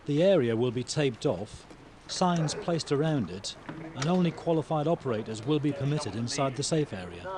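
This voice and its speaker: A man reading scripted instructional narration for a training film in a formal, measured way. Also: medium pitch, expressive, a loud crowd. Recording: noisy 1990s training video, engine sounds.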